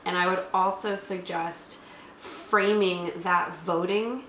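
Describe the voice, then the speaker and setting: Woman, lowish pitch, conversational explainer, review co-host, echoey room. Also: steady tone, takes it slowly, a bit bright. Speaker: co-host of a tabletop game review. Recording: roomy echo, faint background noise.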